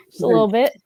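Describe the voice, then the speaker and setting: Woman, 30s, from Venezuela, slow, relaxed podcast guest, dry audio. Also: no echo. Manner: joking, relaxed. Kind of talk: casual self-introduction.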